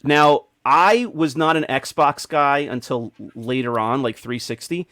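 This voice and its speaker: A man's voice: medium pitch, slow, a bit bright, dry recording. A middle-aged man hosting a casual panel chat, talking conversationally to the group and the audience. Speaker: podcast host.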